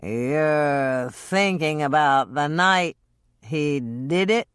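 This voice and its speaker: A woman reading an audiobook and voicing a drunk, brooding man who slurs his words slowly. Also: lowish voice, sing-song, a bit bright, dry recording, clean studio sound, exaggerated voice. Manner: slow, slurred drunken drawl. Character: drunk, brooding adult man, an actor in a scene.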